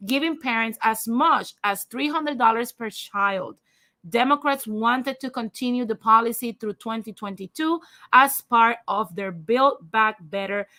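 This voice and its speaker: A woman's voice: a little high, bright, forceful tone. A young woman whose first language is Spanish, with a light Spanish accent, hosting a livestream and giving blunt, profane opinion commentary straight to her viewers, then reading a news article aloud. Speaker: livestream host.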